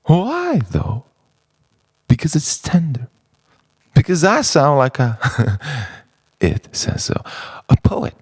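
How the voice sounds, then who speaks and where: A man performs introspective poetry in a close, dry recording. His delivery is dramatic and deliberate, with a widely moving pitch. He phrases his lines as a spoken-word monologue, leaving space between them. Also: wildly swooping pitch, breathy edge, no echo. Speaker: poet.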